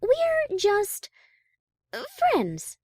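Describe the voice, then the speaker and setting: A woman acting a haughty, easily exasperated high-class pony in a fan audio drama, prim and wordy. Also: wildly swooping pitch, drawn-out words, a little mellow, dry recording, clean studio sound, full character voice. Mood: indignant.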